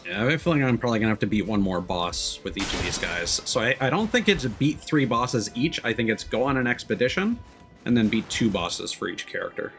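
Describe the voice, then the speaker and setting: A man's voice: lowish voice, big pitch swings, fairly quick, a bit bright, soft background music. A man giving casual, off-the-cuff commentary as he streams a video game. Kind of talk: casual let's-play commentary.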